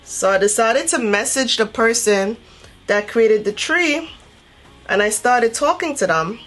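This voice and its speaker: A woman from Trinidad and Tobago chatting casually as a beauty vlogger, telling a personal story in an animated, conversational way. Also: on the high side, measured pace, soft background music. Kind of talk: casual chatty storytelling while doing makeup.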